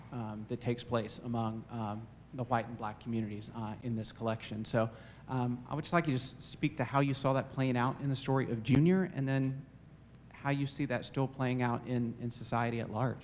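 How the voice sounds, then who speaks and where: A man's voice: mid-range voice, a little hiss or hum. A man, a college dean, moderating a panel discussion, hesitant, with many "uh"s and repeated words. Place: campus event room.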